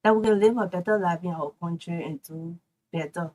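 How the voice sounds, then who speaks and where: A woman with a Liberian English accent answering interview questions as a culinary instructor, in a plain, steady way, often affirming with "yes". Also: fairly low pitch, brisk.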